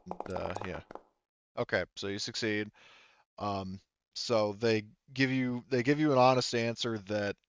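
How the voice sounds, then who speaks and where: A man narrating a tabletop roleplaying game as game master, in a halting, rambling way, thinking aloud as he goes. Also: lowish voice, fairly even intonation, smooth, no room sound, lo-fi sound.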